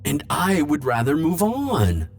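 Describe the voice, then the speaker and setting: A man playing a defensive, lovelorn immortal in an ASMR roleplay, speaking hesitantly with stammers and halting phrases. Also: fairly high pitch, huge pitch swings, creaky, soft background music, full character voice. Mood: defensive, flustered. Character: flirtatious, defensive male immortal pining for Grim Reaper. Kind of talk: ASMR roleplay monologue to the listener.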